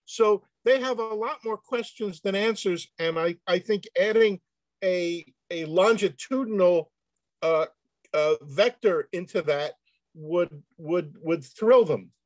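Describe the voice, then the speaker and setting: A man speaking off the cuff in a comic, excitable way, often repeating words as he goes on a video call. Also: high-pitched, takes it slowly, dry recording. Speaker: panel reader answering questions. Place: indoor room on a video call.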